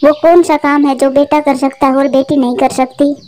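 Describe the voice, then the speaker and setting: Woman voicing a high-pitched gentle young mother bird. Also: little pitch movement, normal speed, lightly acted. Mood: pleading. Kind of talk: Hindi cartoon voice acting.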